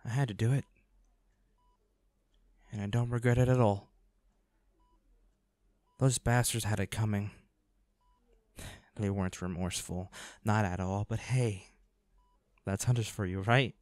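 A man's voice: lowish voice, steady tone, a little slow, pauses often, a little mellow, no room sound, lightly acted. A man playing a protective, devoted hunter boyfriend in a dramatic roleplay audio, speaking earnestly and intensely. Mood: tender, weary.

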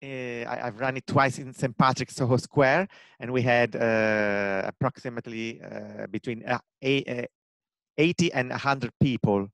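A man's voice: medium pitch, fairly even intonation, a little slow, creaky, a bit bright, dry recording. A man in his forties from Rome with an Italian accent, talking earnestly on a video call through a headset, with many fillers and restarts. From Italy, Rome. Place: home room with bookshelves.

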